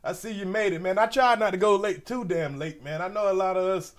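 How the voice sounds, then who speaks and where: A man's voice: high voice, fairly quick. Stuffy-nosed man rambling to his viewers. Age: 40s. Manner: loose, rambling, slangy, talks straight to viewers. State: stuffy nose. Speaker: commentary channel host. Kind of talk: casual livestream commentary.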